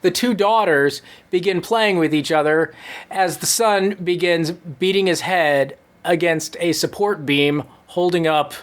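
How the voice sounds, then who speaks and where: A man delivers a comic story at a live show in a fairly high voice. He takes his time, dropping deadpan asides as he narrates and acts out characters. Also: measured pace. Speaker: comedy troupe storyteller reading own story. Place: live show before a laughing audience.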